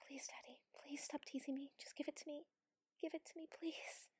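A woman's voice: high-pitched, fairly even intonation, darkish tone, no room sound, studio quality, natural voice. A woman playing a submissive, teasing warrior lover in an intimate adult audio roleplay, whispery and pleading. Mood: urgent, pleading. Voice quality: breathy, whispery. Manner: slow, pleading, coaxing.

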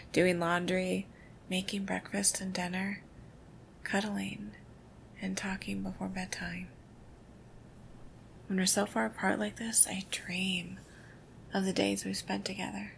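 A woman doing a whispered ASMR girlfriend roleplay, soft, intimate and affectionate. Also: lowish voice, creaky, slight background noise.

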